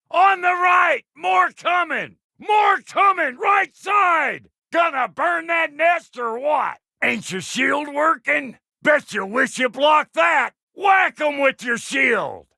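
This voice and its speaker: A foul-mouthed dwarf blacksmith from a video game, gruff and gravelly with a twang. The voice is forceful and high, swinging widely in pitch. The manner is brash, folksy and combative. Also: male voice, no echo, clean studio sound, heavily acted. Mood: brash, urgent. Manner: brash, folksy, cussing, barking warnings and taunts. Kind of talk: video game character voice lines.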